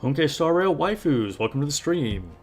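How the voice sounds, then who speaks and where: A man's voice: on the high side, big pitch swings, moderate pace. Scratchy-voiced man hosting a casual livestream. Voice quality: slightly scratchy. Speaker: live stream host. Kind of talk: casual livestream chat, greeting viewers. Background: music.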